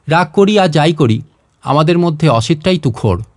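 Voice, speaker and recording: Man, story narrator, fairly high pitch, expressive literary reading, very little room sound. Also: moderate pace, dry recording, clean studio sound.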